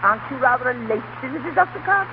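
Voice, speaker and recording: An actress playing a fussy, insinuating middle-aged aunt with gushing, theatrical delivery in a 1930s radio drama. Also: female voice, sits high, lively intonation, racing. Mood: startled, nosy. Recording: muffled, tinny 1930s radio broadcast, hissy.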